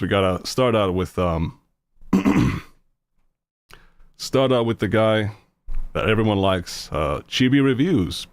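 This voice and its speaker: Low-voiced Finnish streamer, non-native English, blunt, halting, dry close sound. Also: male voice, dry recording. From Finland. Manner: rambling, halting with many fillers, blunt and profane. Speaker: streamer reacting to videos. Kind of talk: casual reaction commentary.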